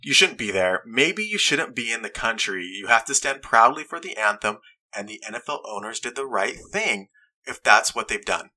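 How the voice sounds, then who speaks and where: Bright-voiced young man arguing earnestly. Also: medium pitch, lively intonation, moderate pace. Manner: earnest, argumentative, reads quotes aloud. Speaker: podcast host. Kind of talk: casual debate chat.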